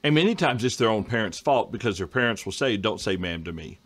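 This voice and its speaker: A middle-aged man hosting a casual Bible study discussion over a video call, reading viewer questions and often clearing his throat. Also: fairly low pitch, lively intonation, fast talker. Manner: conversational, frequent throat clearing. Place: home study with bookshelves.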